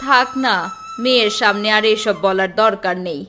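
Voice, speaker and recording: A woman voicing a caring, weary village wife and mother, consoling and soft-spoken, in a cartoon folk tale. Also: medium pitch, normal speed, over music, close to an everyday voice.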